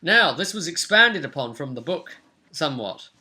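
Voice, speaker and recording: A man's voice: a little high, big pitch swings, normal speed, sharp tone. A man in his twenties casually recapping a tabletop role-play session as game master, recorded on a webcam in a room at home. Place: small domestic room indoors.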